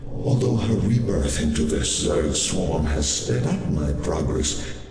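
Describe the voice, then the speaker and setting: Deep, echoing ancient villain. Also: male voice, lively intonation, darkish tone, full character voice. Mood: grandiose, condescending. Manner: slow, grandiose, condescending. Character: ancient sinister male servant of a dark cosmic power. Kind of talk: theatrical villain monologue in a game. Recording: long cavernous reverb, faint music.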